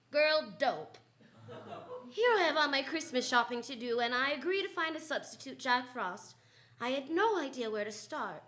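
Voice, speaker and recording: A breezy lady private eye narrates and banters in a live radio play. Her bright, fairly high voice is sassy and wry, always ready with a wisecrack.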